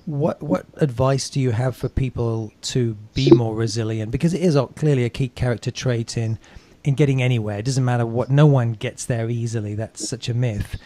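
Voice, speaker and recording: Medium-pitched man hosting an interview podcast. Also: normal speed, mellow. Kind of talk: conversational interviewing.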